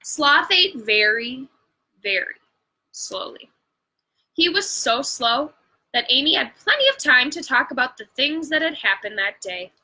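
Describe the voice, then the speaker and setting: A woman's voice: high voice, sing-song, measured pace, bright, forceful tone, rough recording. A woman reading a picture book aloud as a bedtime story, drawing out words for emphasis and adding asides to her viewers.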